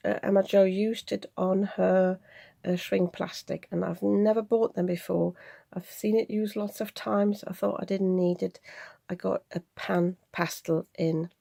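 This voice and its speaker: A woman's voice: medium pitch, unhurried, creaky, warm tone, no room sound, clean studio sound. A woman chatting casually and a little hesitantly as she presents craft supplies in a show-and-tell video. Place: indoors at a craft desk.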